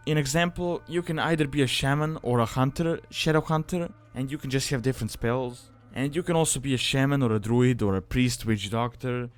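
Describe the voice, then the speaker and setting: A man hosting a casual, explanatory gaming guide as a voice-over, talking in an informal, loosely phrased way. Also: mid-range voice. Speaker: video guide host. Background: background music.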